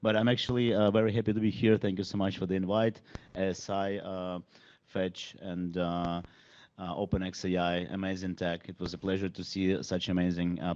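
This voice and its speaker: Ukrainian-accented man, low and muffled. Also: darkish tone. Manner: hesitant, grateful, many pauses. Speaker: event guest. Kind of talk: event speech. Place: event venue. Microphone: venue microphone.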